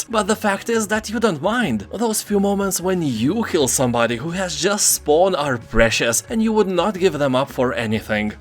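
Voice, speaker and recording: Wry male game-review narrator. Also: fairly high pitch. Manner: wry, joking asides. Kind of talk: scripted voice-over game roundup.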